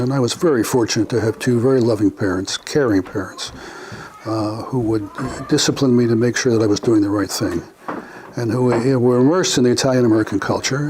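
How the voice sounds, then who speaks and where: An older man being interviewed about his family, speaking in a reflective, unhurried way with frequent pauses and filler words. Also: mid-range voice, darkish tone, a little hiss or hum, rough recording. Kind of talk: family oral history interview.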